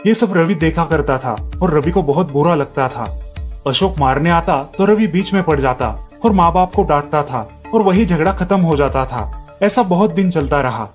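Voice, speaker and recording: A man narrating a family cartoon story in a steady storytelling manner. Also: on the high side, normal speed, faint music behind.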